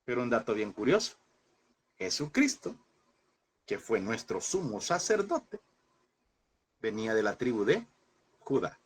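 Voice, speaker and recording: A man teaching a Bible class, asking his listeners questions, repeating them and slowing down on key points. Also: medium pitch, very animated, slightly rough. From El Salvador. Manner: addresses listeners as brothers, asks and repeats questions; slows and stresses key words.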